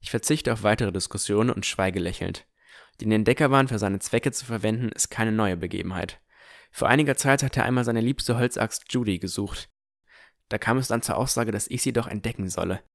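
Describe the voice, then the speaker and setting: A man playing an earnest, curious boy who narrates a fantasy audio drama in a measured, descriptive way. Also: fairly low pitch, gravelly, no room sound, clean studio sound. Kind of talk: scripted first-person audio drama narration.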